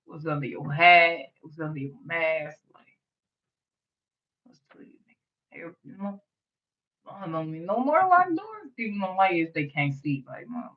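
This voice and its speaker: A woman's voice: lowish voice, big pitch swings, normal speed, pauses often, muffled recording. A woman in her early thirties from the US Midwest, with a light voice, hosting a late-night livestream in a rambling, blunt and profane way. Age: 30s. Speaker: livestream host. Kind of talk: late-night casual stream rant.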